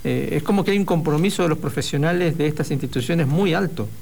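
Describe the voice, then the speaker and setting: Quick-talking co-host, mid pitch, affirming interjections. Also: gravelly, a little mellow. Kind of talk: interview conversation.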